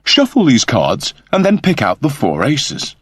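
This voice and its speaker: A man's voice: mid-range voice, huge pitch swings, measured pace, slightly rough, dry recording, studio quality, pitched above the performer's own voice, full character voice. A man voicing a fussy, earnest service android with a precise, formal, explanatory manner.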